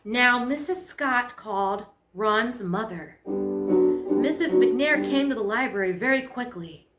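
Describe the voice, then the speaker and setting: A woman narrating a children's story as a storytime host, in a measured, expressive read-aloud manner. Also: fairly high pitch. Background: prominent piano music score.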